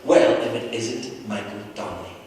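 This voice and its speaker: A man voicing a warm, doting woman primary teacher, cooing and gushing praise in a lifted voice. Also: on the low side, normal speed, a little hiss or hum, close to an everyday voice. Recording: distant, echoey hall recording with faint ambience.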